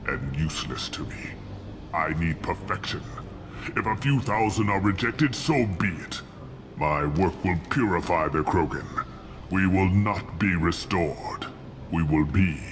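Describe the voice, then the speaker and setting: An actor playing an aged, haughty alien warlord scientist in a video game, speaking with grandiose, deliberate scorn. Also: male voice, low-pitched, noisy background. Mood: zealous. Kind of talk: dramatic video game acting.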